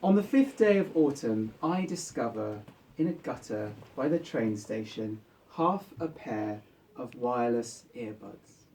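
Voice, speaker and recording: A man reads literary fiction aloud to a live gathering. His voice is mellow and relaxed, at a middling pitch. The microphone sits some way off, so he sounds a little distant in the room. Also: unhurried, slight background noise. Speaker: author reading own short story. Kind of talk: literary story reading to a live audience. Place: bookshop book launch event.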